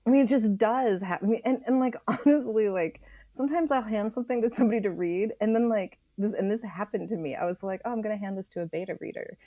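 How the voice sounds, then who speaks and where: Female writer guest, medium pitch, very dry room sound. Conversational, frank, wry, reassuring. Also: fast, mellow, dry recording. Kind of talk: casual conversational interview.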